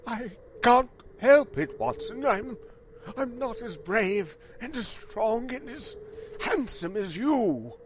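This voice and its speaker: A man's voice: extremely high pitch, sing-song, slow pace, highs cut off, slight background noise, full character voice. A man playing an eccentric, cowardly, bumbling detective in a comic audio drama, theatrical and melodramatic. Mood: terrified, whimpering.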